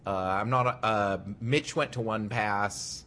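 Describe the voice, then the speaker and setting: A man's voice: on the low side, slow, bright, forceful tone, slight background noise. A man co-hosting a casual podcast, a little stuffy, telling stories in a laid-back, profane way. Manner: laid-back storyteller, swears freely. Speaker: podcast co-host.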